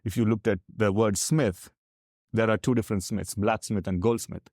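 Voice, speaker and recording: A man's voice: fairly low pitch, fairly even intonation, normal speed, darkish tone, dry recording, clean studio sound. A man from South India with a South Indian accent and Tamil as his first language, explaining earnestly as a podcast guest. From Tamil Nadu, South India. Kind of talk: candid explanatory conversation.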